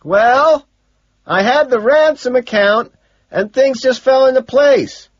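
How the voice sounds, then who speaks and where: A man voicing a smug young male banker in an audiobook, shouting back loudly with drawn-out words. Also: very high voice, no room sound, lo-fi sound, voice pushed up, exaggerated voice. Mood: shouting, offhand.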